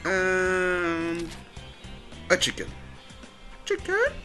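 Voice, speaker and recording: A man gives casual let's-play commentary, halting and thinking aloud as he plays. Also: sits high, wildly swooping pitch, soft background music. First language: Spanish. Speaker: gamer commentating his play.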